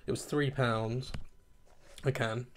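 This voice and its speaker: A man's voice: mid-range voice, fairly even intonation, takes it slowly, slight background noise. A man in his thirties chatting casually to camera in a kitchen at home, rambling a little and laughing at himself as he reviews a beer.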